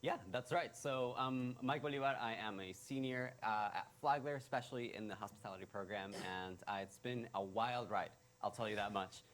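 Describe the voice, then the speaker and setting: A young man from Ecuador, a senior in college, sits in for a morning show interview. He talks in an easygoing, upbeat way, mid-pitched and relaxed. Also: normal speed, few pauses. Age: young adult. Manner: easygoing, upbeat, laughs easily. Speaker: college student. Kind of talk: TV interview guest.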